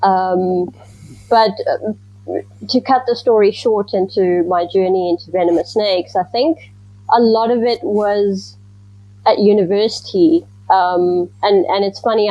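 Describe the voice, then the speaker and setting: Woman from Zimbabwe, measured guest. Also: medium pitch, fairly even intonation, dark tone, dry recording, muffled recording. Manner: thoughtful, measured, with frequent ums. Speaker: guest researcher. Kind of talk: casual interview answers.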